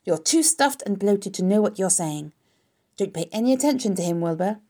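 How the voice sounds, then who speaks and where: Motherly older spider for a storybook, read by a woman: creaky, wise, protective, firm when she needs to be. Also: medium pitch, expressive, moderate pace, mellow. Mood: scolding, disgusted. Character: kindly ageing female spider, wise and protective.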